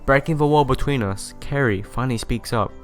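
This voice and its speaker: A man's voice: mid-range voice, a little fast, faint music behind. A man hosting a let's play, reading visual novel narration aloud and sometimes stumbling and correcting himself.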